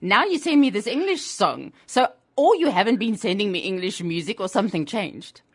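A woman's voice: medium pitch, sing-song, moderate pace, husky. Chatty South African woman interviewer. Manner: chatty and teasing. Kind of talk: conversational interview.

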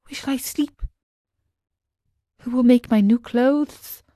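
A woman's voice: high voice, very animated, slow pace, a bit bright, pitched above the performer's own voice, full character voice. A woman voicing a naive, impulsive wooden marionette boy in a storybook, with an exclamatory, pleading delivery. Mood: grieving, pleading. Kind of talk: storybook character voice.

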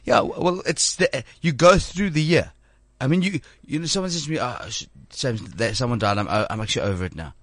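A man's voice: mid-range voice, fairly quick, hardly any pauses, no echo. A man in his thirties hosting a show, talking in a rambling way with many restarts. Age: 30s. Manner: rambling, stumbling restarts, animated. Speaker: show host. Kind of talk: casual studio chat.

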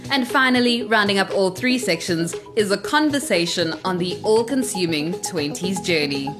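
A woman host reading a brisk, upbeat scripted introduction. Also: medium pitch, animated, music in the background. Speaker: podcast host.

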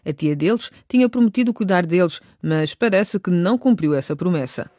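A woman's voice: lowish voice, expressive, a little fast, mellow, a little hiss or hum. A woman narrating a scripted radio drama.

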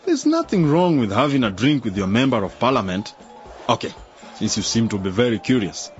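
An actor playing a scheming male politician who offers a bribe, smooth and coaxing in a radio drama. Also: medium pitch, big pitch swings, fairly quick, slight background noise, lightly acted. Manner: smooth, coaxing, then threatening. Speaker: member of parliament. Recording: dull lo-fi radio drama recording.

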